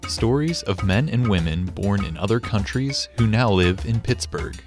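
A man's voice: fairly low pitch, lively intonation, normal speed. A man reading scripted narration as the narrator of a radio series. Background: soft background music.

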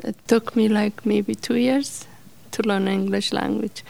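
A middle-aged woman, telling her life story in an interview in halting, simple English with fillers. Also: medium pitch, fairly even intonation, creaky, dark tone, low-quality recording. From Afghanistan.

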